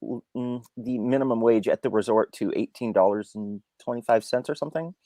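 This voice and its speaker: A man reviewing a film in unscripted discussion, halting and repeating himself as he searches for words. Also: mid-range voice, mellow, no room sound, clean studio sound.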